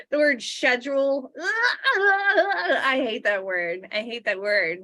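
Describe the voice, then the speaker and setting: A woman co-hosting a spiritual livestream, chatting warmly about books, gushing and laughing often and easily sidetracked. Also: sits high, sing-song, slow, no room sound, clean studio sound. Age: adult sister of co-host. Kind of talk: casual chat, reviewing books.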